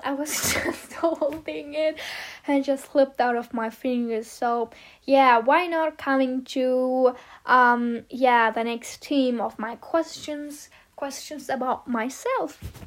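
A fifteen-year-old girl whose first language is German, chatting casually about herself with lots of fillers and giggles. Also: sits high. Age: teens. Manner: giggly, rambling, many fillers. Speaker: vlogger answering questions about herself. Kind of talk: casual chat.

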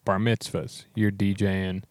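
A hoarse man co-hosting a podcast, chatting casually in a laid-back, joking way. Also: on the low side, little pitch movement, measured pace, darkish tone, dry recording. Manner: laid-back, joking, trails off mid-sentence. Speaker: podcast co-host. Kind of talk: casual chat.

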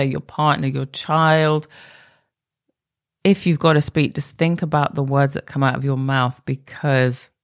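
A woman hosting her own podcast, talking alone in a candid, conversational way that sometimes drifts off track. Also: sits low. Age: adult. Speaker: podcast host. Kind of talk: solo podcast monologue.

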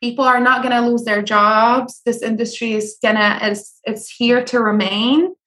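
A young woman from Syria speaks in a high, lifted voice. She gives earnest, practical advice as an interview guest, with frequent ums and small restarts. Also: steady tone, moderate pace, fairly bright tone. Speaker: guest, food blogger. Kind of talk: conversational interview.

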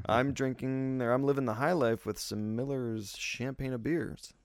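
A man's voice: medium pitch, steady tone, measured pace, no room sound, studio quality. A man hosting a casual podcast chat over beers, joking loosely and laughing often. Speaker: podcast host.

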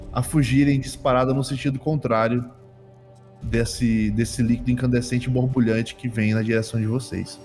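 A Brazilian man improvising tabletop game narration as game master, halting and often repeating his phrases. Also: mid-range voice, a little mellow. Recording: long hall reverb, music behind.

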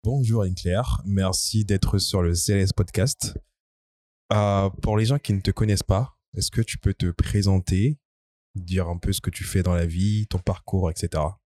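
A man's voice: sits low, fairly even intonation, darkish tone, no echo. A man hosting a podcast, introducing his guest earnestly and then asking questions with a few hesitant fillers. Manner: earnest, measured, many fillers when asking. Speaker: podcast host. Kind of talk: podcast interview, scripted intro.

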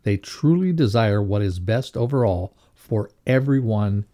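A man reading a motivational lesson aloud as a co-host, in a measured, instructive way. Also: lowish voice, expressive, warm tone.

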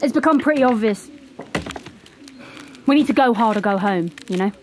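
A woman's voice: a little high, animated, normal speed, a little mellow, slight background noise, natural voice. A woman playing a defiant, militant budgie commander in an audio drama, assertive and insistent. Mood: defiant, hard-line. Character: militant, defiant budgie commander rallying birds against humans.

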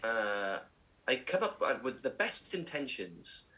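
A man co-hosting a casual show, animated and theatrical, laughing easily, heard over a video call. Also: mid-range voice, a little slow, lo-fi sound. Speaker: co-host. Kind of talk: casual chat.

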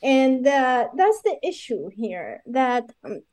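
A woman from Iraq speaks as a guest in an interview, fairly high-pitched yet with a mellow, darker tone. She talks in an animated way, full of fillers and quick asides. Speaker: guest, pharmacist running licensure courses. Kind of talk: conversational interview.

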